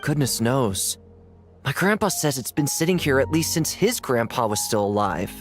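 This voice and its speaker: A man's voice: mid-range voice, big pitch swings, moderate pace, faint music behind, lightly acted. A man playing a casual, hesitant local townsperson in a video game.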